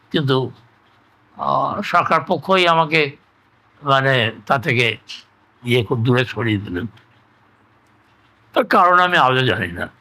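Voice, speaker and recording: An elderly man reminisces in casual conversation, reflective and halting, often repeating himself. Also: on the high side, pushed and bright, poor audio. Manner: halting, reflective, trailing into repetitions. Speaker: interview guest, retired engineer recalling life.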